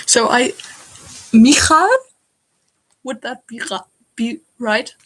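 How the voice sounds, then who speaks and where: A German-accented woman in her twenties hosts a live crafting stream and reads out viewer comments. She talks unhurriedly in a slightly high voice, chatty and giggly, speaking straight to her audience. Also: a bit bright, husky, rough recording. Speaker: stitching channel host.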